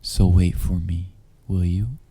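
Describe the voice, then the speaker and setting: Super low voiced guy, slow n hushed, kinda teasing, talking right to you up close. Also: fairly even intonation, husky. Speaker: voice actor. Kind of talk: intimate audio roleplay monologue, addressing listener as darling.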